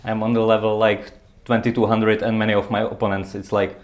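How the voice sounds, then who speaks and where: A man's voice: on the low side, level tone, brisk. A man interviewing a guest, asking plain questions and giving short acknowledgements. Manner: brief backchannel, plain questions.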